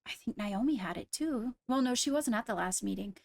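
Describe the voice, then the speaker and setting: A woman playing a guarded young royal in an improvised Minecraft roleplay, speaking in a measured, deliberate way as she reasons aloud. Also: on the high side, expressive, gravelly, no echo. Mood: matter-of-fact.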